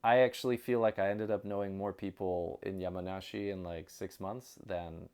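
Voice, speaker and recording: Low, creaky-voiced man chatting casually. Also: fairly even intonation, normal speed, darkish tone. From outside Japan. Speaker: interview guest. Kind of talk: casual chat.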